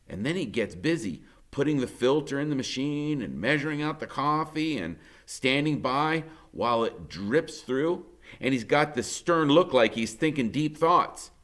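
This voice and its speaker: A man reads a first-person story aloud in a mid-range voice with very lively intonation. His delivery is expressive and conversational, with a wry, dramatic edge. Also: mid-range voice. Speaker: audiobook reader.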